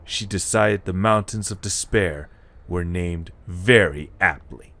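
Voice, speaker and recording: A man's voice: low-pitched, takes it slowly, sharp tone. A man reading scripted story narration as the narrator of an audio drama.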